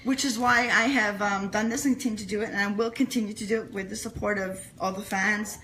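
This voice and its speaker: A woman's voice: mid-range voice, steady tone, fast talker, a bit bright, slight background noise, poor audio. A woman speaking as an interview guest, telling a personal story in an earnest, emphatic way with repeated phrases. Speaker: interview guest, fan club founder.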